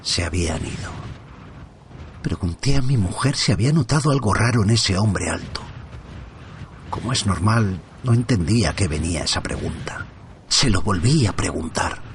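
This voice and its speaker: Casting: middle-aged man, low voice, hushed storyteller, faint street hum behind. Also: expressive, normal speed. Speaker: first-person narrator. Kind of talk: scripted horror narration. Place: at home by an open window.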